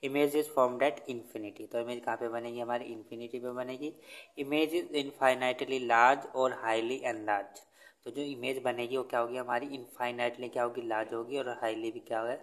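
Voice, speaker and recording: A man teaching in Hindi mixed with English, answering his own questions, and reading English lines out slowly. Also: medium pitch, steady tone. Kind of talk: Hindi-English tutorial over notebook. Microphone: phone camera over notebook.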